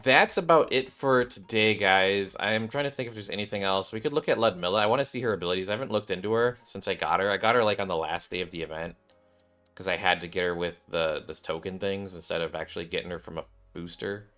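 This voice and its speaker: Low-voiced bright male game streamer. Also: quick pace, soft background music. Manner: rambling, thinking aloud. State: voice slightly off, recovering. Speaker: gaming streamer, host. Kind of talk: casual game commentary, reading skills aloud.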